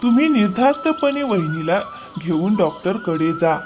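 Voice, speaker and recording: A man's voice: high-pitched, very animated, moderate pace, over music. A man playing a loyal, trusting farmer in a cartoon moral story, earnest and accommodating in manner. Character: trusting, hard-working, loyal male farmer.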